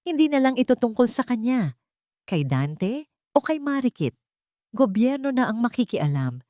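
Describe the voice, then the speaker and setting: A woman's voice: fairly high pitch, a little mellow, no room sound, clean studio sound. A woman reading a dramatic scripted story as its narrator.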